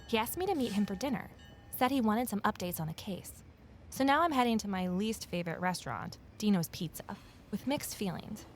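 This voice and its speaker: A woman's voice: medium pitch, natural voice. A woman playing an earnest, stubborn young amateur sleuth in an audio drama, narrating in a natural, conversational, wry way. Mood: reflective. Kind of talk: first-person audio drama narration and dialogue.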